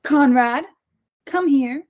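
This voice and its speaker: A woman's voice: sits high, slow. A woman in a script table read, playing a controlling mother and captor with menacing, false sweetness. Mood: commanding. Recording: dull, muffled lo-fi sound.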